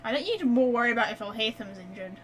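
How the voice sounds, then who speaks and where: A woman's voice: fairly high pitch, expressive, very fast. A woman streaming a game, chatting casually and reacting aloud as she plays. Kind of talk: casual gameplay commentary. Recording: faint background noise.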